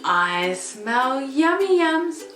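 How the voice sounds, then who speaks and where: A woman voicing a friendly badger who speaks quickly and chirpily, in a storybook reading. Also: high-pitched, sing-song, soft background music, pitched above the performer's own voice, exaggerated voice. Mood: eager. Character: friendly grinning badger, eager to share. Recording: roomy echo, soft ukulele behind.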